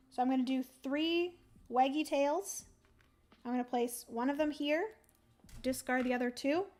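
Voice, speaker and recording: A young woman on a livestream, talking through her moves in a solo board game and chatting with viewers, her voice going a little hoarse. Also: sits high, darkish tone. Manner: chatty, thinks aloud, greets chat viewers. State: losing her voice. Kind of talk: casual livestream play-by-play.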